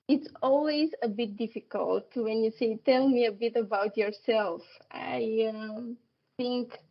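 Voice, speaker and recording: A woman in her thirties from Romania, speaking English as a podcast guest, laughing often and pausing with fillers. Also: on the high side, steady tone, dull audio. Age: 30s. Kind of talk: casual interview chat.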